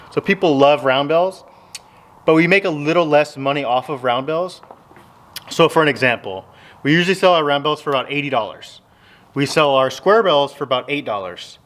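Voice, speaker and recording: A man's voice: on the high side, a bit bright. A man in his thirties from Texas, casually talking to his phone camera in a big open metal barn, explaining things in an easygoing, rambling way. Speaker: farmer vlogger. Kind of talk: casual vlog talk to camera. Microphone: handheld phone at arm's length.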